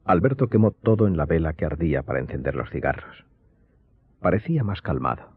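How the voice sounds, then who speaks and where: A man narrating a radio serial in a scripted, dramatic and measured storytelling style. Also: rumbling low, very animated, breathy edge, phone-quality sound.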